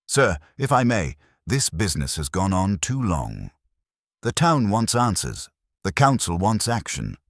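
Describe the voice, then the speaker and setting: Man as an impatient male police sergeant, deep voice, insistent, respectful, frustrated, radio mystery, dry recording. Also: sing-song, unhurried, no echo, heavily acted. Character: impatient male police sergeant pressing his superior for action.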